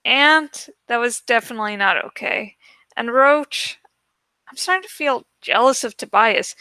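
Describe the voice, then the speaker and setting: Woman as an exasperated teenage boy, bright and high. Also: clean tone, dry recording. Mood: weary. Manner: halting, plaintive. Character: reluctant, exasperated teenage boy, hesitant and complaining.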